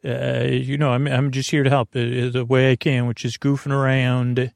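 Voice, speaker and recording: Creaky middle-aged guy rambling at bedtime, goofy tangents, super dry close mic. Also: medium pitch, little pitch movement, moderate pace, dry recording. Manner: meandering, self-interrupting, goofy asides. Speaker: sleep podcast host.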